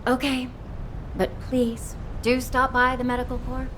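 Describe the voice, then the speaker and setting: An actress playing a friendly, concerned young military assistant with a caring, expressive manner in a video game. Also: female voice, sits high, measured pace. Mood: worried.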